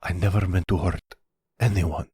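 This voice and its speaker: A man playing a timid, guilt-ridden young son in an audio roleplay, speaking softly and haltingly, full of apology. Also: low voice, fairly even intonation, husky, no echo, clean studio sound. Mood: ashamed, confused. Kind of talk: ASMR audio roleplay drama.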